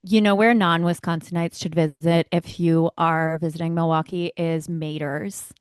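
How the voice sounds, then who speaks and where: A woman's voice: on the low side, steady tone, normal speed, clean tone, dry recording, clean studio sound. A woman co-hosting a podcast, chatting casually and giving her opinions with emphasis. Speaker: podcast co-host. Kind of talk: casual chat.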